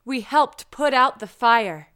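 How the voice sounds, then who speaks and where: Woman teacher reading learner drills, high and very clear, unhurried. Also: lively intonation, sharp tone. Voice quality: careful, clearly enunciated. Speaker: language teacher. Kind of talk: slow drill sentences for learners.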